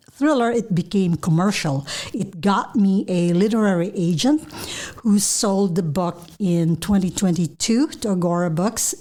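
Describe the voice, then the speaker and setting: A woman's voice: medium pitch, warm tone. A middle-aged woman from the Philippines with a Filipino accent, answering interview questions as a guest author.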